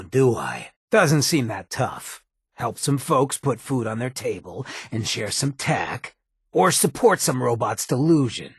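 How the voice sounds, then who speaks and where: Streetwise ghoul rogue for a video game: ragged gravelly rasp, animated wry delivery, dry close sound, swagger throughout. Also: male voice, lowish voice, no echo, exaggerated voice. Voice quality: heavily raspy, gravelly. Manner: swaggering slangy drawl, wry and informal. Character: roguish, streetwise ghoul adventurer, irreverent, loyal to underdogs. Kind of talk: video game character acting.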